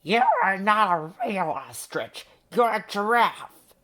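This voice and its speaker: A man's voice: high-pitched, animated, slow, bright, forceful tone, pitched above the performer's own voice, exaggerated voice. A man voicing a noisy, dismissive ostrich in a storybook with a scornful squawk.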